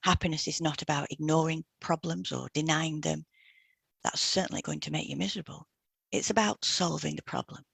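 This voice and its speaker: A woman's voice: low-pitched, fairly even intonation, a little fast, creaky, fairly bright tone, slightly rough, no echo, studio quality. A woman reading a scripted informational piece as a podcast host, in an instructive, explanatory way.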